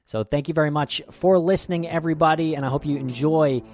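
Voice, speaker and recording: A man's voice: a little high, fast talker, music in the background. A man co-hosting a podcast, talking in an easygoing way with frequent fillers. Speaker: podcast co-host. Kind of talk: casual podcast chat.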